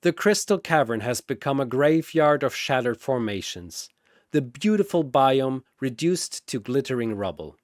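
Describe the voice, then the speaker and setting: A man's voice: medium pitch, expressive, moderate pace, dry recording, studio quality. A man narrating a scripted fantasy battle theatrically, often in short, clipped phrases.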